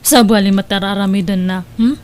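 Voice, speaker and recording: A woman's voice: medium pitch, steady tone, brisk, darkish tone, close to an everyday voice. A woman acting as an inquisitive, chatty young confidante in an audio drama, speaking with an Ilocano accent. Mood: gossipy.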